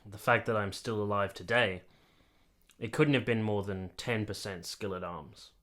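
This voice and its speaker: An actor playing an earnest, articulate army captain who has been to war, in a radio play. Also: male voice, lowish voice, normal speed, a bit bright, natural voice. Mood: sombre, reflective. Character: earnest, candid young army captain, reflective war veteran. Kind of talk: radio play narration and dialogue.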